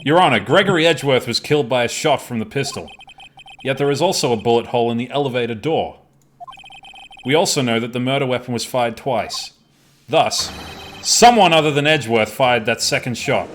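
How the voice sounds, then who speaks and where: A man's voice: mid-range voice, a little fast, fairly bright tone, a little hiss or hum, close to an everyday voice. A man playing an earnest, insistent young defence lawyer in a live amateur game dub, speaking in emphatic statements.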